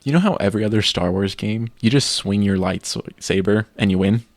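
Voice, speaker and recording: A man with a fairly low voice recounting his gaming struggles on a podcast. He tells it with animated energy and acts out his own reactions. The recording is dry and close. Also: fairly quick, no room sound. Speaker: podcast co-host. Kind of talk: casual gaming podcast chat.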